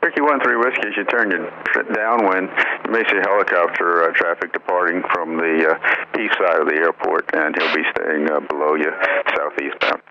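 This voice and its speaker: Brief: male air traffic controller, low and creaky, informal delivery on a muffled radio channel. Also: steady tone, brisk, few pauses, sharp tone. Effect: aviation radio. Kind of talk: easygoing radio instructions. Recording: muffled aviation radio with faint noise.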